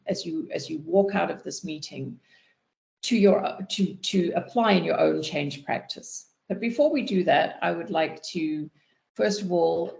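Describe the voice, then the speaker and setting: A woman hosting an online panel call, welcoming people in an unhurried way with some fillers. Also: on the low side, vocal fry, echoey room. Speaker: community host and moderator. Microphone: video call.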